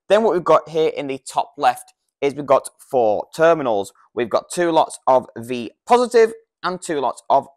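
A British man in his thirties giving an informal, step-by-step product demonstration in a practical, explanatory way, on a lapel mic. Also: medium pitch. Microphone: lavalier lapel microphone.

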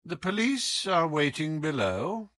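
A man voicing a suave, affable gentleman detective with a polite, teasing manner in an audiobook. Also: a little high, sing-song, a little slow, no room sound, studio quality, voice pushed up. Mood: amused, composed.

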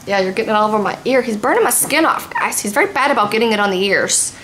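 A woman's voice: on the high side, expressive, quick pace. A young woman hosting a casual family vlog at home, chatting expressively while her hair is colored. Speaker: vlog host. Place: living room at home. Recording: echoey room, faint noise.

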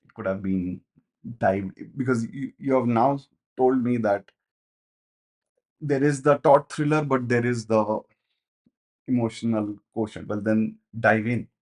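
A man, reviewing a film in casual, animated talk, with many fillers and restarts, in a small home studio. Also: mid-range voice, a little slow, long pauses. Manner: animated, gestures a lot, thinks aloud with many fillers and restarts. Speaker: movie reviewer.

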